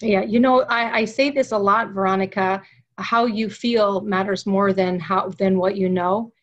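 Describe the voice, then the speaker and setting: A woman hosting a sales interview over a video call from her home office, speaking with animated enthusiasm. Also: medium pitch, a little slow, lo-fi sound. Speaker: webinar host. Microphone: webcam video call.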